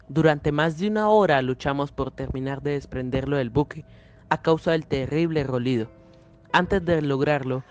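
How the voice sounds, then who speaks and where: A man's voice: on the high side, darkish tone. A young man reading a scripted, dramatic story as narrator. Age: young adult. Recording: music playing clearly behind the voice.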